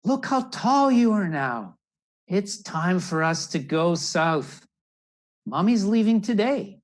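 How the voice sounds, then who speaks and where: A man's voice: high-pitched, big pitch swings, slow pace, pitched above the performer's own voice, exaggerated voice. A man voicing an encouraging parent shorebird in a children's storybook, urging the chicks on emphatically.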